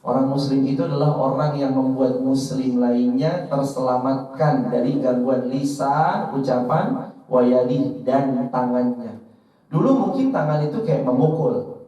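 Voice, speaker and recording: Mellow-voiced male preacher in echoey room. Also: medium pitch, a little slow. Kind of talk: sermon to an audience, quoting and translating Arabic scripture. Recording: roomy echo, distant mic, slightly dull.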